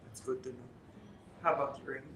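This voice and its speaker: A man hosting a panel podcast, guiding guests with friendly prompts and quick replies. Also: mid-range voice, steady tone, a bit bright, slight background noise. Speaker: podcast host. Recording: echoey room.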